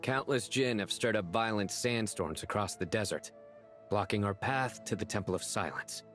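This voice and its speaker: A man's voice: on the low side, moderate pace, a bit bright, faint music behind, natural voice. A man voicing a composed, commanding young desert lawman in an anime game, terse and serious.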